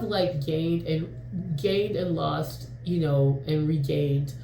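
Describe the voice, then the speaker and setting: A woman's voice: sits low, dark tone, reverberant, slight background noise, rough recording. A woman in her forties from New York, casually vlogging in a rambling, frank way with lots of fillers and the odd swear word. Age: 40s. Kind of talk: casual talking-to-camera vlog.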